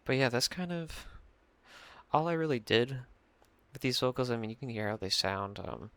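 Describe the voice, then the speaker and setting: A young man with a low voice, rambling through a casual mixing tutorial at his computer, full of fillers and restarts. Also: expressive, moderate pace, a bit bright, slight background noise. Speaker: music producer explaining a mix. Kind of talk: casual screen-share tutorial. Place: small room at a computer.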